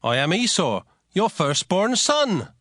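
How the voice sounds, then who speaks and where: An actor playing a hot-tempered, wronged firstborn son, emotional and pleading, in a dramatized scripture story. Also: male voice, sits high, wildly swooping pitch, slow pace, a bit bright, a little hiss or hum, heavily acted. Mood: eager. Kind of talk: dramatized scripture reading with music.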